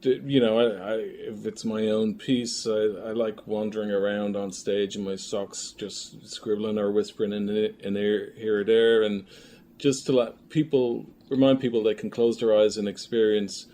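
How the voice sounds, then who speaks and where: Low-voiced man, thoughtful, over clear background noise. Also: level tone, warm tone. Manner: halting, thoughtful, searching for words. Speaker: filmmaker and audio drama creator, interview guest. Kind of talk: casual conversational interview. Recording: clearly noisy room ambience.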